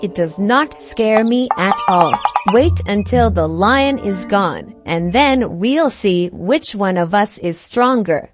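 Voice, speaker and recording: A woman's voice: medium pitch, animated, slow, few pauses, over music. A woman voicing a defiant ox in a children's fable, firm and biding its time.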